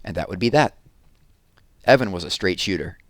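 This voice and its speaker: A man narrating a children's book aloud with expressive storytelling. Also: low voice, moderate pace, dry recording, studio quality.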